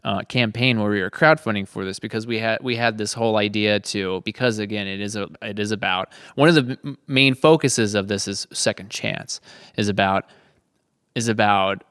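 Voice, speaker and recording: A man's voice: lowish voice, normal speed, fairly bright tone, smooth, no echo, studio quality. A man hosting a casual conversation, speaking earnestly and feeling his way through his thoughts with rephrasing. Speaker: host of a production log podcast.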